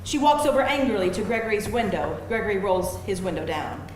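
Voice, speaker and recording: A woman's voice: medium pitch, lively intonation, brisk. Woman, scripted narration, stage directions, live table read, strong echo, faint background noise. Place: live event room before an audience.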